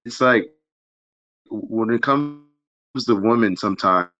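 A man talking slowly and hesitantly on a live show, searching for his words and hedging his views. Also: on the low side, bright, forceful tone. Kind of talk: casual live show discussion. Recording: dull, dry lo-fi audio.

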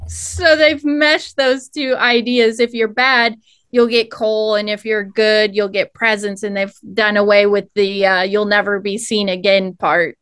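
A woman with a mixed Cleveland and Southern accent, talking slowly as a podcast guest. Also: mid-range voice, flat delivery, a bit bright, clean tone, dry recording. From Cleveland, Ohio. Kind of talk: casual chat.

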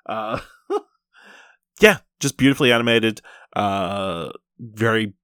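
A man's voice: on the low side, takes it slowly, bright, forceful tone, smooth, dry recording, clean studio sound. A man hosting a podcast and chatting casually about films. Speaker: podcast host. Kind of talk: casual film review chat.

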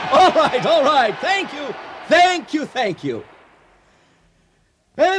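An actor playing an exuberant comic storyteller in a radio drama, husky and grandly mock-solemn. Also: male voice, sits high, heavily acted. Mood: wry. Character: exuberant comic storyteller addressing a wedding crowd. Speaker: narrator.

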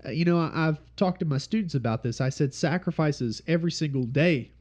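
Stuffy-nosed man teaching, slightly high voice. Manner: steady explanatory teaching, pausing to repeat points. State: stuffy nose from congestion. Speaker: Bible study teacher. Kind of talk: informal Bible study teaching.

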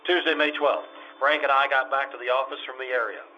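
A man playing a calm, businesslike police detective in a 1950s-style radio drama, clipped, deadpan and matter-of-fact. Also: medium pitch, a little fast, lightly acted. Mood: grave, factual. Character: calm, businesslike male police detective narrating his case. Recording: dull radio-play recording with music.

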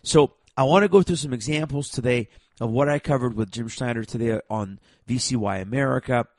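A man with a raspy voice from a cold, hosting a program and talking forcefully to viewers, with heavy stress and stammered restarts. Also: medium pitch, brisk, no echo. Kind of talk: emphatic monologue to viewers.

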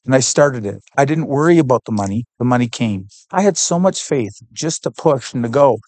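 A man from Canada, a podcast guest giving an earnest, heartfelt faith testimony and pausing to search for words. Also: mid-range voice, expressive, darkish tone.

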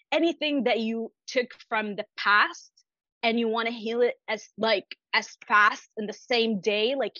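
A woman whose first language is Arabic, a coach guesting on a podcast, who speaks haltingly with many "like, you know" fillers. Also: a little high, a bit bright, no room sound. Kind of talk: podcast interview. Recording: dry, dull lo-fi sound.

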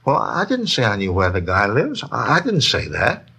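An actor playing an evasive middle-aged barfly in a 1950s radio drama, hesitant and repetitive, with a gravelly voice. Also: male voice, lowish voice, huge pitch swings, moderate pace, heavily acted. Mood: sly, wheedling. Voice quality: gravelly, husky.

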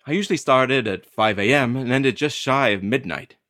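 A man's voice: medium pitch, moderate pace, fairly bright tone, no room sound, studio quality, lightly acted. An actor playing a hapless, anxious delivery carrier who tells his creepy tale with wry, theatrical drama in an audio play. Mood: wry, weary. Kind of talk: dramatic first-person narration in an audio play.

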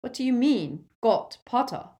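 A woman's voice: a little high, very animated, a little mellow, pitched above the performer's own voice. Woman playing a stern, icily precise older professor. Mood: sharp. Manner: crisp, measured, icily proper.

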